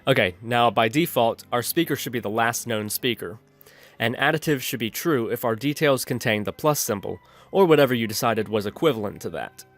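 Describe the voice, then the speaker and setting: A man's voice: mid-range voice, animated, brisk, a bit bright. A man narrating an instructional screencast as a tutorial host, explaining methodically step by step. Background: background music.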